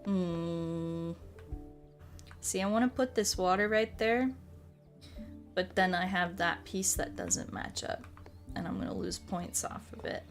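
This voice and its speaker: A sleepy American woman chatting casually as she streams a puzzle game, soft-spoken and relaxed, musing and answering viewers. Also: on the low side, slow pace, long pauses. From United States. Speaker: ASMR creator and live streamer. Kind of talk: casual chat while playing a puzzle game, reading and answering viewers' comments. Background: soft music.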